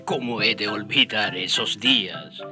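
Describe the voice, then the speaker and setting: A man's voice: fairly low pitch, measured pace, loud music behind the voice, close to an everyday voice. An actor playing a man who fondly recalls past days as the narrator of a radio drama. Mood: fond, amused. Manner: reminiscent, storytelling. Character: fond storyteller recalling his days with the friars.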